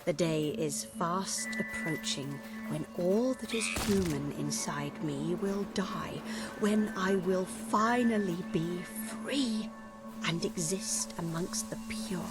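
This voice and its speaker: An actress playing a fanatical alchemist witch in a video game, solemn, reverent and breathy in a dramatic monologue. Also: lowish voice, slow pace. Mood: hushed, yearning. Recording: clear music behind the voice. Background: game soundtrack music.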